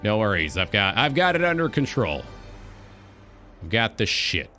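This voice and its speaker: A man's voice: on the low side, big pitch swings, normal speed, pushed and bright, faint music behind. A man hosting a live stream in a casual, informal talk show style, swearing freely.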